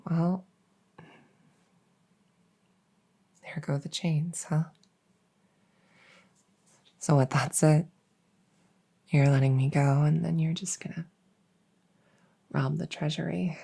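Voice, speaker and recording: A woman's voice: deep voice, fairly even intonation, gravelly, mellow, breathy edge, full character voice. A woman playing a world-weary young princess in an intimate audio roleplay, speaking hesitantly and confidingly, sometimes close to a whisper. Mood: hurt, resigned. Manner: hesitant, halting, confiding, with trailing pauses.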